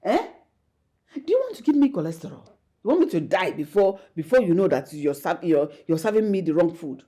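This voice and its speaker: A woman's voice: mid-range voice, lively intonation, moderate pace, breathy edge. An actress with a Nigerian accent playing a domineering, disapproving middle-aged mother who scolds and mixes in local words. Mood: scolding. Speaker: actor. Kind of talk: comedy skit acting.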